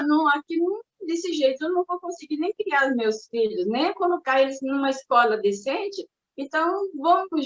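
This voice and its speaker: An older woman born in Japan, speaking as an interviewee and recalling her family's past in a reflective, hesitant way with many restarts. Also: high voice. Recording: roomy, dull recording.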